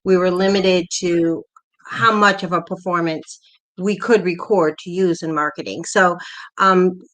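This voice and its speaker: A woman's voice: on the low side, fairly even intonation, measured pace, fairly bright tone, rough recording. Woman chatting on a video call. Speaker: theater panelist. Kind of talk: casual discussion.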